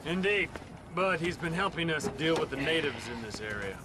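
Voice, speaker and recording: An actor playing a brusque, authoritative government agent in a western game, with a commanding, curt manner. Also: male voice, on the high side, expressive, normal speed, natural voice. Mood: brisk, bossy. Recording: noisy outdoor street and vehicle ambience.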